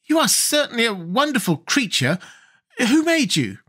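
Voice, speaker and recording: A man's voice: very high voice, huge pitch swings, normal speed, pushed and bright, husky, voice pushed up, heavily acted. A man voicing a mischievous young servant girl in a storybook, pert, demure and teasing. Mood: curious. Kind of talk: storybook character voice.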